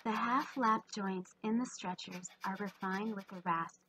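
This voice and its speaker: Woman doing a scripted how-to voiceover, medium pitch, workshop noise in the back. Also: unhurried, pushed and bright, poor audio. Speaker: narrator of a woodworking channel. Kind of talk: scripted instructional narration.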